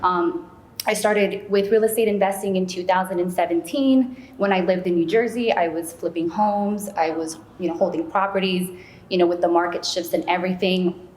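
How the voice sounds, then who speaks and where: A woman with a slightly low voice speaks as an interview guest. She is chatty and conversational, dropping in casual asides as she explains. The recording has a clear room echo and faint background noise. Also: fairly even intonation, moderate pace, a little mellow. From United States, born in Peru. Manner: chatty, frequent "you know" asides.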